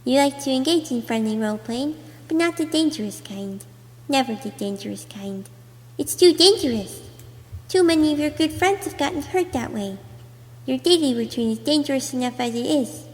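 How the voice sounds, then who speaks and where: A woman's voice: high-pitched, lively intonation, moderate pace, a little mellow. A woman reading scripted second-person narration as an amateur voice actor, in a performed storytelling way. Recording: echoey, long room reverb.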